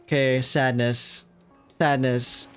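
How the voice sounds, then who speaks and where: A woman's voice: very deep voice, level tone, takes it slowly, faint music behind. A woman streamer giving casual, chatty let's-play commentary, reacting and talking back to game characters.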